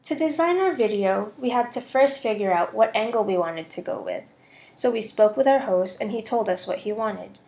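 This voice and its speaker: A woman's voice: mid-range voice, lively intonation, normal speed, vocal fry, a little mellow. A young woman with an American accent narrating a scripted class presentation as a student voice-over. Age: young adult.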